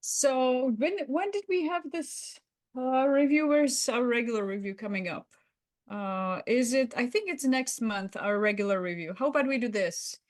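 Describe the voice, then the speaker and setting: Busy female manager played by a woman, workplace roleplay, evasive, halting, breezily dismissive delivery. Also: on the high side, pitched above the performer's own voice, natural voice. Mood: accommodating, noncommittal. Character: busy, distracted female boss deflecting a needy employee.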